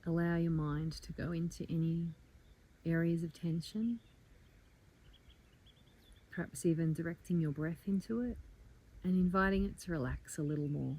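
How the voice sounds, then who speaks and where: A woman leading a guided meditation in a calm, gentle, inviting manner, with measured phrases and pauses. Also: fairly low pitch. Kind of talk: guided meditation, soft slow instruction.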